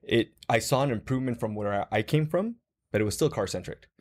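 A man's voice: mid-range voice, lively intonation, fast talker. A young man from Guatemala talking casually in a group conversation, reflective, with many fillers and restarts.

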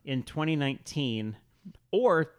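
A man's voice: fairly high pitch, lively intonation, unhurried, clean tone. A man hosting a podcast in a casual, comedic conversational style. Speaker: podcast host.

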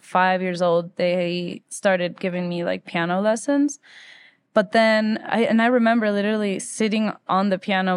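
A woman from Ecuador, around thirty, speaks as a guest musician in a casual interview. Her pitch sits in the middle range, and she tells things informally, in loose conversational phrases peppered with "like". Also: smooth. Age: late 20s to 30s.

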